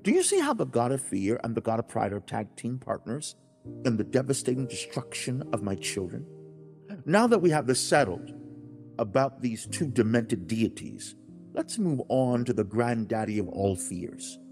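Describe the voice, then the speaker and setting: A man's voice: mid-range voice, very animated, normal speed, darkish tone. A man playing God as a stern fatherly teacher, solemn and declamatory, in a dramatized religious audiobook monologue. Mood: stern, instructive. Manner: solemn, declamatory, lecturing with rhetorical questions. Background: music.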